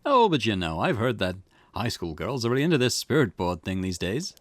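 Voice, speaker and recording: A man's voice: lowish voice, big pitch swings, fairly quick, dry recording. A man voicing a breezy, enthusiastic junior police detective in a visual novel, chatty and chirpy. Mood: eager, chatty.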